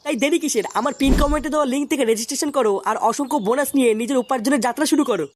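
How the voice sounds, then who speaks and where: A woman's voice: high-pitched, very fast, slight background noise. A woman promoter delivering a scripted sponsor advertisement.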